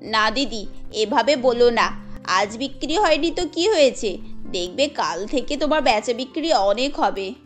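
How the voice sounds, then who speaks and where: A woman voicing a humble, kindly beggar woman with a pleading, gentle manner in a cartoon. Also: on the high side, lively intonation, a little slow, faint music behind, pitched below the performer's own voice. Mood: grateful, comforting.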